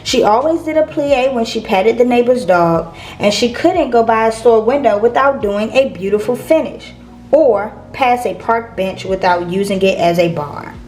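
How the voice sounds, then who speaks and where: High-pitched woman reading a picture book. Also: normal speed, a little mellow, faint music behind. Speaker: read-aloud storyteller. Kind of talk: children's picture book narration.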